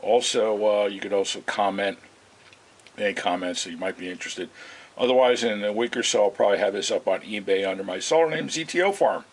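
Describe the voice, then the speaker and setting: A man giving a casual, unscripted demonstration as a video host, speaking in a halting, rambling way. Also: lowish voice. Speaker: video host demonstrating vintage radio repair. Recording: hissy, noisy amateur home video.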